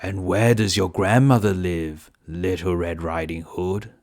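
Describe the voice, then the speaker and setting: A man voicing a big wicked wolf in a children's storybook, gruff and slyly polite. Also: deep voice, fairly even intonation, measured pace, a little mellow.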